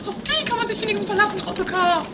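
A woman's voice: very high-pitched, very fast, heavy background noise. A woman playing a dramatic, squabbling sister in a trashy comedy radio play, theatrical and exclaiming. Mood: sulky. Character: Mathilde, dramatic, squabbling castle-dwelling sister.

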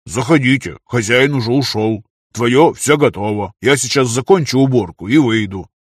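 A man's voice: medium pitch, normal speed, breathy edge, no room sound, studio quality, close to an everyday voice. A man voicing a brash, boastful young workshop lad with a cocky drawl in an audiobook. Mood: self-important. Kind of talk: audiobook character voice.

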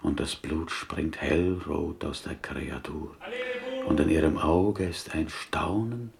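A pious slaughterhouse helper in a radio play. The speech is slow, formal and full of respect, with old-fashioned phrasing. The voice sits extremely low with a gravelly creak. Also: male voice, full character voice. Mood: solemn, pleading. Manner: reverent, ceremonious, inverted old-fashioned syntax. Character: devout, courteous slaughterhouse helper, dreamily solemn.

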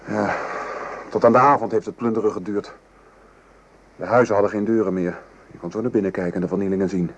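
A man playing a weary French artillery sergeant in a radio play, gravely recounting the horrors of war. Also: sits low, darkish tone. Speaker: actor. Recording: noisy old radio play recording.

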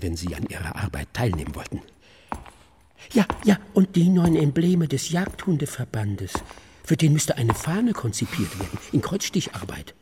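An actor playing a fussy, politely condescending court official in a radio play, rambling on officiously. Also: male voice, mid-range voice, dark tone, slight background noise.

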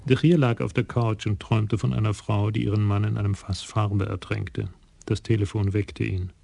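A man narrating a German crime radio play in a calm, measured way. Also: fairly low pitch, vocal fry, dry recording.

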